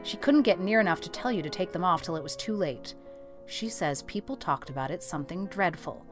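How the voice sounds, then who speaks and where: A stern older guardian acted by a woman in an audiobook drama, with a fairly low voice. She is brisk, practical and scolding, no-nonsense but caring, with soft music behind her. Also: animated, close to an everyday voice. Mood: vexed scolding. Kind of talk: audiobook drama character acting.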